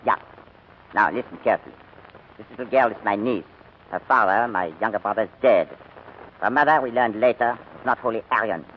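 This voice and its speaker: An actor playing a cold, formal German army major who interrogates with clipped menace in a 1940s radio drama. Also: male voice, fairly low pitch, a little fast, pauses often, lightly acted. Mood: guarded, businesslike. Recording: dull, noisy 1940s radio recording.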